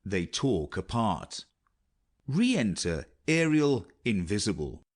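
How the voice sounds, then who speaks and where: A man's voice: on the low side, big pitch swings, takes it slowly. A man narrating scripted stage directions for a dramatic audiobook.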